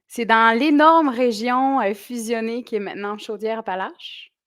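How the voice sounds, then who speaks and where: A woman's voice: a little high, fairly even intonation, moderate pace, a bit bright, no echo, studio quality. Chatty French-born woman in her forties. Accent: French with Québécois traces. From southwestern France. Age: 40s. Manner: chatty, laughs easily. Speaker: guest. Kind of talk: casual interview chat. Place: small studio.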